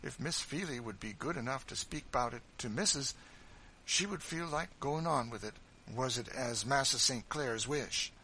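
Casting: man doing a humble, deferential devout man, bright tone, faint hiss. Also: mid-range voice, normal speed, voice pushed up, natural voice, low-quality recording.

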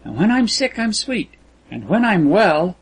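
A man's voice: high-pitched, big pitch swings, measured pace, slightly rough, voice pushed up, full character voice. A man voicing a sweet, naively vain rich teenage girl with earnest, artless chatter, reading from a story. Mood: sweetly coy.

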